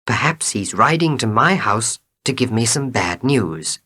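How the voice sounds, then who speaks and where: Man playing frightened villager, raised pitch, swooping intonation, storybook character. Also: animated, measured pace, bright, forceful tone, slightly rough, exaggerated voice. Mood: worried. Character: frightened male villager calling out to friend.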